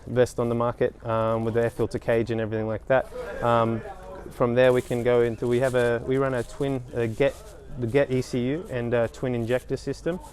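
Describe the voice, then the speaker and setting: A man in his thirties, a race mechanic talking casually in an interview, with many pauses and restarts. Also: medium pitch, darkish tone. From Australia. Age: 30s. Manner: hesitant, many ums and restarts.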